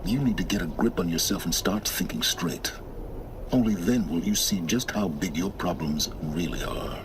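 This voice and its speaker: An actor playing a cold, condescending man in a video game, speaking in a slow, lecturing, disdainful way. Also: noisy background. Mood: scornful. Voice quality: deep, measured and cutting. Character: cold, condescending man hidden behind a mask. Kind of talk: video game cutscene acting. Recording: game audio with music underneath.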